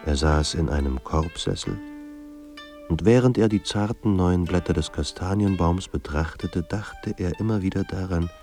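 A man narrating a literary radio drama about a despairing writer in a grave, measured, introspective manner. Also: low voice, a little mellow. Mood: somber, quiet. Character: somber male narrator of a despairing writer's life. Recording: music under the voice. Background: music.

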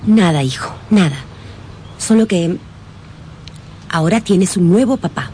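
An actress playing a gentle, anxious housekeeper in a radio drama, tender and hesitant. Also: female voice, lowish voice, lively intonation, unhurried, slight background noise. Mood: hesitant, uneasy. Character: gentle, anxious housekeeper fond of a young boy.

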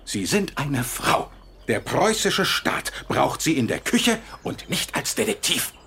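A stern police superior in a radio play, condescending and formal as he reprimands. His pitch is mid-range, over a faint noise bed. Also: very animated, slow pace, gravelly, sharp tone, exaggerated voice. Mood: stern, dismissive. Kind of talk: German radio drama acting.

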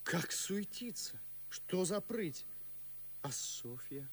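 A man's voice: sits high, big pitch swings, takes it slowly, heavy background noise, exaggerated voice. An actor playing a young, ardent nobleman, muttering suspicious, brooding asides to himself. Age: young adult. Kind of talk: classic radio play acting.

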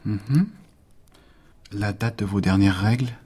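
A calm, gentle doctor. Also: male voice, lowish voice, slow pace, slightly rough. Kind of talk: radio drama dialogue.

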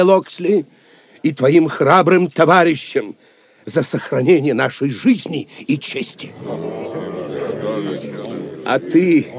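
A man's voice: mid-range voice, darkish tone, breathy edge. An actor playing a grateful, lordly Saxon nobleman in an audio drama, with solemn, declamatory, formal speech. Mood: grateful, moved. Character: grieving, grateful, lordly Saxon nobleman, commanding yet tender. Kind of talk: Russian audio drama acting.

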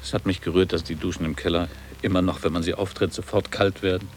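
Deep-voiced reflective former pupil, acted by a man. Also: steady tone, faint music behind, lightly acted. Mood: wistful, searching. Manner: measured, lyrical, formal recitation. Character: reflective older man, former pupil revisiting his boarding school. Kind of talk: literary radio drama monologue.

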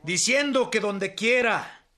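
A man playing a fiery revolutionary general, giving a halting, emphatic speech in a radio drama. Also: high-pitched, animated, pushed and bright, heavily acted. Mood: mocking, emphatic.

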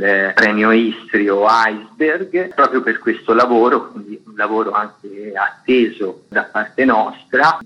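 A man's voice: fairly low pitch, husky, lo-fi sound. A man explaining a programme at length as an articulate guest in a radio interview. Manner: articulate, long-winded explanatory sentences. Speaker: festival artistic director, interviewed guest.

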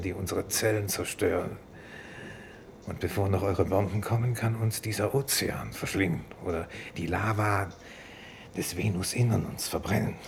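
An actor playing a calm, fatalistic exile on Venus, speaking in measured radio play dialogue. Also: male voice, on the low side, gravelly. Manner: measured, deliberate. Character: calm, fatalistic male Venus exile, gently ironic and unafraid. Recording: noisy 1960s radio drama recording.

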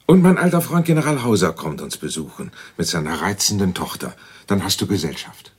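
A protective, fatherly general in a radio drama. His low, breathy, husky voice rises and falls expressively, with a fond manner. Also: sing-song, fairly quick, exaggerated voice. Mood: tender, gentle.

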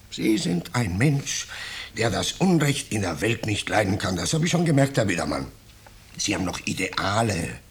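An actor playing an ingratiating vagrant in a 1950s German radio play, speaking with unctuous false modesty and quiet menace. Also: male voice, fairly low pitch. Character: ingratiating vagrant stranger, slyly menacing, mock-humble. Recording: noisy 1950s radio drama recording.

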